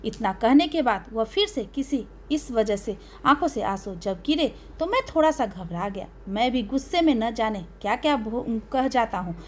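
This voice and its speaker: A woman narrating a dramatic first-person audio story in an emotional, expressive way. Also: on the high side, normal speed, few pauses, slight background noise.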